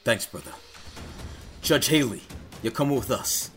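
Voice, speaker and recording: A man's voice: medium pitch, measured pace, fairly bright tone, soft background music, natural voice. A man playing a cool-headed, commanding prisoner leading an armed escape in an audio drama.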